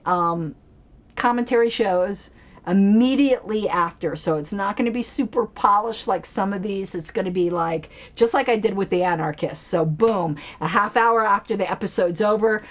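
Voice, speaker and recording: Woman, home streamer. Loose, rambling chat, mid-range voice, plenty of fillers. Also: medium pitch. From Colorado. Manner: rambling, chatty, with frequent fillers and restarts. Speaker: political commentary streamer. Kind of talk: casual solo livestream chat. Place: home basement.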